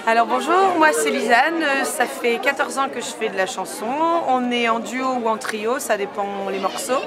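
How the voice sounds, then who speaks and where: A woman's voice: on the high side, normal speed. A cheerful woman singer-songwriter from Brittany, chatting in a festival interview. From Brittany, France. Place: outdoor street festival. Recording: loud crowd behind.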